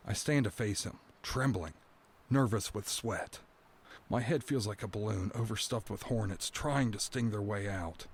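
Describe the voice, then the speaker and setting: An actor playing a dread-filled prisoner awaiting his doom, delivering a brooding first-person monologue in an audio drama. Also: fairly low pitch. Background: background music.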